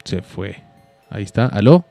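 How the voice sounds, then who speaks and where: A man's voice: lowish voice, steady tone, fairly quick, warm tone, dry recording. A Colombian man co-hosting a live radio show, chatting casually as he handles the phone lines. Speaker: radio co-host taking calls. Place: radio studio. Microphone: studio broadcast microphone. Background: faint music.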